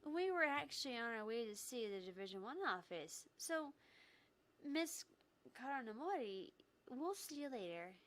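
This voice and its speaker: A woman's voice: fairly high pitch, sing-song, normal speed, a bit bright. A woman voicing a friendly, upbeat young female inspector in a visual novel, casually polite. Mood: friendly, chirpy.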